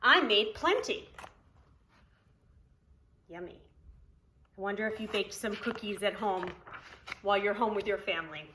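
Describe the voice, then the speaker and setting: A woman preschool teacher reading aloud to young children, speaking expressively with deliberate enunciation and explaining as she goes. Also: medium pitch, steady tone, slight background noise. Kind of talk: read-aloud for young children with counting lesson.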